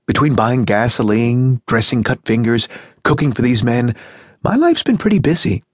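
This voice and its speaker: A man voicing a cheerful, shrill, high-pitched American housewife who gushes and chatters gaily in an audiobook. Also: expressive, moderate pace, slightly rough, dry recording, studio quality. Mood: gushing. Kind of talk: audiobook reading.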